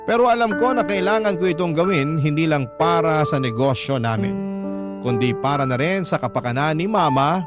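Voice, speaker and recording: Casting: male radio storyteller, slightly high voice, earnest confessional letter reading over prominent music. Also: lively intonation, moderate pace. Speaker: narrator of a listener's letter. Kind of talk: dramatic radio storytelling, scripted narration. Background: loud music.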